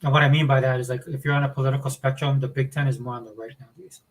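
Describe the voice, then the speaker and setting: A man's voice: mid-range voice, fairly even intonation, very fast. A man raised in the US South, originally from Hawaii, co-hosting a casual livestream chat, rambling and opinionated with frequent restarts. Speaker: co-host of a gaming podcast.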